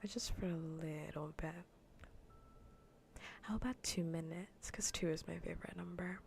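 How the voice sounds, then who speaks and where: Soft, whispery, low-pitched woman teasing softly. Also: slight background noise. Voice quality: soft, breathy, often whispered. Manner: teasing, playful, slow and repetitive. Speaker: livestream host. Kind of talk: soft-spoken ASMR gaming livestream chat. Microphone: close ASMR microphone.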